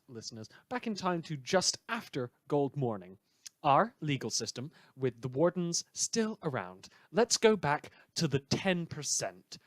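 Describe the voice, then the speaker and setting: Man playing a sardonic radio host, higher-pitched, dry sound. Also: no room sound. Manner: sardonic, indignant, rhetorical. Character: opinionated male radio host ranting about corrupt heroes. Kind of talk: fictional radio show monologue.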